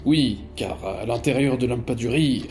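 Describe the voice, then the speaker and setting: A man acting a polite yet menacing magistrate in an audio drama, speaking deliberately with hesitant pauses. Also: mid-range voice, loud music behind the voice, lightly acted. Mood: smug. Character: polite, menacing male magistrate, pious servant of time.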